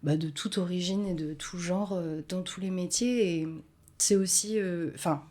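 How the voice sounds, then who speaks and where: A woman's voice: on the low side, normal speed, dark tone. A woman hosting a podcast interview, speaking hesitantly and searching for her words. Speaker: podcast host. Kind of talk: interview conversation.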